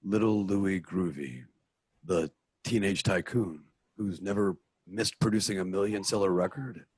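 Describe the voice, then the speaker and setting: Stern caped crusader, low voice, husky, dry close sound, radio play. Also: male voice, a little slow, darkish tone, dry recording. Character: stern, measured adult male caped crime fighter. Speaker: voice actor in an online radio play.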